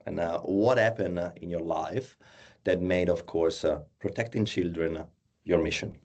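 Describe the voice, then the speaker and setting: A male host with a deep, mellow voice opens a casual live conversation. He talks in halting bursts, stacking fillers as he searches for words in a language that is not his first.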